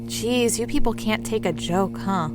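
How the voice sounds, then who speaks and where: A woman's voice: mid-range voice, measured pace, darkish tone, faint music behind, close to an everyday voice. A woman playing a playful, theatrical young woman in an audio drama, showy and mischievous like a mock announcer. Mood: teasing. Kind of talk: lively audio drama dialogue.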